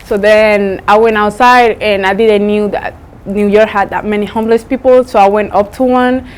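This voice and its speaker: A woman's voice: medium pitch, fairly even intonation, moderate pace. A woman in her early twenties from Spain, a non-native English speaker, giving casual, informal interview answers. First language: not English. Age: early 20s. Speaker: student basketball player.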